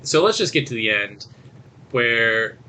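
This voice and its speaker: A man with a bright, forceful voice at an average pitch talks through a film as a podcast co-host. He is casual and rambles haltingly through the story, slipping into quick quoted lines as he goes. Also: lively intonation, slight background noise, low-quality recording. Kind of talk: casual movie review chat.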